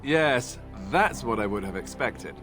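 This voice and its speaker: An actor playing a sarcastic young warrior companion in a fantasy game, with a teasing manner. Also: male voice, medium pitch, lively intonation, a little slow, close to an everyday voice. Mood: wry. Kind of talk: dramatic game dialogue. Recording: music clearly behind the voice.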